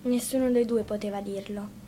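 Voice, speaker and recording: A woman's voice: medium pitch, steady tone, moderate pace, warm tone, a little hiss or hum. A woman reading a fairy tale aloud as a storyteller, in scripted narration.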